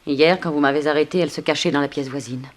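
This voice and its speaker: A woman's voice: low-pitched, steady tone, fast, lightly acted. An actress in an early 1960s French radio play, voicing a seductive, teasing, coolly persuasive woman thief.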